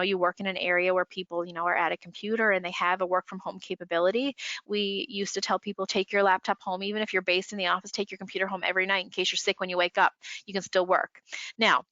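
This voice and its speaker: A woman's voice: medium pitch, sharp tone, no echo, muffled recording. A woman giving legal advice in a webinar discussion, congested, talking conversationally with many fillers over a video call. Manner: filler-heavy, conversational, many "you know"s. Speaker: employment lawyer panelist. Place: home, remote call.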